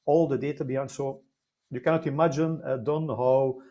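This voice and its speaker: Halting, emphatic man with a Flemish accent. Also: medium pitch, fairly even intonation, warm tone. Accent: Flemish Belgian. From Belgium, Flemish-speaking side. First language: Dutch (Flemish). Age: middle-aged. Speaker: guest, life sciences consultant. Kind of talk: conversational interview answer.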